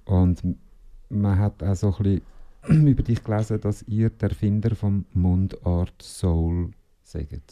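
A man's voice: low-pitched, unhurried, few pauses, mellow. A man hosting a radio interview, asking long chatty questions. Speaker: radio host.

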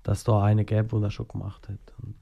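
A singer with a Schaffhausen Swiss German accent answering interview questions in a halting way, full of fillers and restarts. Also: male voice, fairly low pitch, monotone, gravelly, mellow, husky, muffled recording. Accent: Swiss German, Schaffhausen dialect. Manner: hesitant, many fillers and restarts. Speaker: guest singer.

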